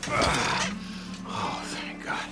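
An actor playing a tough, stubborn, foul-mouthed biker in a video game, straining through pain. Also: male voice, very slow, loud music behind the voice. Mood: drained, grumbling. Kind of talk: naturalistic cinematic game acting.

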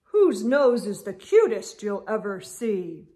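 A middle-aged woman hosting a children's storytime, reading aloud expressively with drawn-out words and gentle asides. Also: mid-range voice, big pitch swings. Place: indoor room lined with bookshelves.

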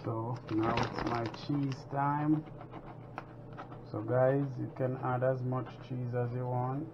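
A man from Jamaica hosts a casual home cooking demonstration, talking slowly and easily to his viewers. His voice sits at an ordinary middle pitch. The sound is dull and lo-fi, with clear kitchen noise running underneath. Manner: relaxed, chatty, proud of his cooking. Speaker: cooking show host.

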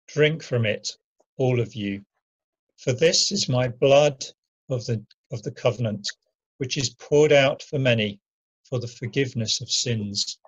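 A man playing a grave, solemn male teacher and prophet in a deliberate scripture drama reading. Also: mid-range voice, breathy edge. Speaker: amateur reader in church dramatic reading. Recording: dull lo-fi home recording.

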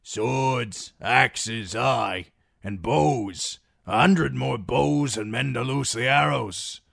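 A man voicing a gruff, blunt, one-armed old male warrior. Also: medium pitch, very slow, a bit bright, no echo, studio quality, voice pushed up, full character voice.

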